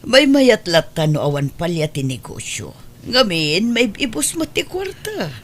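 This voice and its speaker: A woman's voice: on the low side, very animated, measured pace. A woman playing a loving, hopeful, well-off adoptive mother in an Ilocano audio drama, with an Ilocano accent. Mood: practical.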